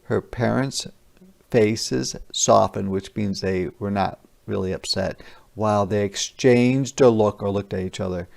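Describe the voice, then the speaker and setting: A man teacher reading a children's picture book aloud, adding simple, deliberate explanations for young listeners. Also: fairly low pitch, vocal fry, darkish tone. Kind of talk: interactive children's read-aloud with explanatory asides.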